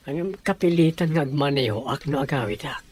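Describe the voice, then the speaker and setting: A man's voice: fairly high pitch, animated, a little fast, a little mellow, slight background noise, close to an everyday voice. A man with an Ilocano accent playing a loving young fiancé who talks in an easygoing, tender way.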